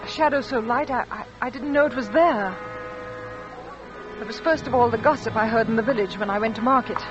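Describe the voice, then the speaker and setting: Actress as anxious high-voiced young wife, old radio. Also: female voice, fairly quick, music in the background, natural voice. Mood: haunted, confiding. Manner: hesitant, questioning, dramatic. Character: anxious, devoted young wife haunted by fear. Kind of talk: 1940s radio drama acting. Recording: dull old radio recording.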